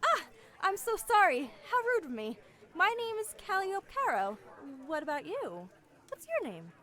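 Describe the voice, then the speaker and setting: A woman's voice: very high-pitched, wildly swooping pitch, measured pace, bright, forceful tone, exaggerated voice. A woman acting a young elf assassin in a fantasy audio drama, charming and sweetly feigning innocence. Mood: bubbly, polite. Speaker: voice actor in an audio roleplay.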